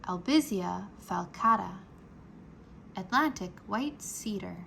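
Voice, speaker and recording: A woman reading a children's book aloud like a teacher, expressive and carefully enunciated for young listeners. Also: medium pitch, takes it slowly, gravelly, slight background noise. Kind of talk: children's read-aloud narration, rhyming nonfiction.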